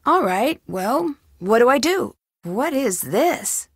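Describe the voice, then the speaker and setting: Casting: a young female sleuth for an adventure game, inquisitive and composed, fairly high voice, dry close recording. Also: sing-song, slow, slightly rough, no room sound, full character voice. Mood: curious, questioning. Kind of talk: video game dialogue, questioning.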